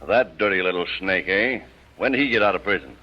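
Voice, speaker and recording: An actor playing a tough, sardonic police captain, brusque and commanding, in an old-time radio crime drama. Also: male voice, low voice, lively intonation, fairly quick. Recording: muffled vintage radio recording.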